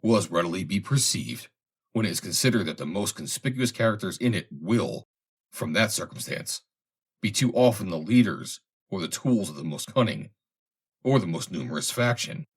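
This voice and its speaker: A man reading a formal historical essay aloud in a measured, deliberate way. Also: lowish voice, animated, husky. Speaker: reader, narrator.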